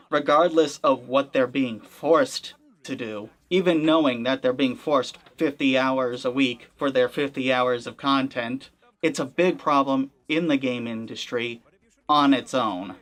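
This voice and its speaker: A young man hosts a gaming video, talking straight to the camera in a loose, conversational way. He sounds skeptical and drops wry asides. His voice sits at an ordinary middle pitch. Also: a bit bright. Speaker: gaming channel host. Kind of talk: casual commentary to camera. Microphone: camera-facing setup.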